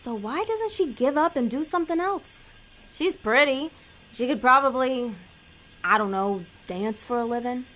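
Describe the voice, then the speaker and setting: A woman's voice: sits high, expressive, moderate pace, fairly bright tone. An actress playing a bossy, scheming female demon in an audio drama, blunt, slangy and impatient.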